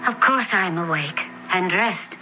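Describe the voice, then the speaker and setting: Radio drama: searching, troubled young woman of antiquity, lowish register, earnest formal delivery, muffled vintage broadcast. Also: animated, measured pace, over music. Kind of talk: old-time radio drama acting. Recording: dull old radio broadcast, faint noise.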